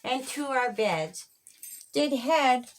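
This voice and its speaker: Slow-reading woman storyteller, higher voice. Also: expressive, slight background noise. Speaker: author reading own children's story. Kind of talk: rhyming storybook read-aloud.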